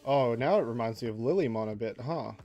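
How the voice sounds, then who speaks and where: A man casually chatting on a livestream while he draws, reading out chat messages, laughing often and jumping up in pitch for jokes. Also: a little fast, warm tone, dull audio, soft background music.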